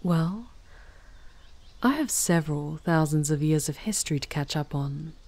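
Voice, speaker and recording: A woman voicing an ancient female demon in an ASMR roleplay, soft and breathy, often near a whisper, speaking formally with tender, wistful slyness. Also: deep voice, lively intonation, dry recording. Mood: sly, fond. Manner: slow, formal, tender farewell speech. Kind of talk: ASMR audio roleplay, intimate scripted monologue.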